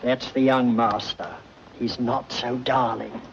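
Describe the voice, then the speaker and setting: A man playing a dry, deadpan household butler in an old film. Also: medium pitch, slow, gravelly, slight background noise. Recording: dull old film soundtrack.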